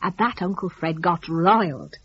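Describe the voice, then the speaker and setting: A woman's voice: on the low side, moderate pace, a bit bright, slightly rough, no room sound, muffled recording. A woman narrating a dramatic story in a chatty, gossipy, folksy dialect.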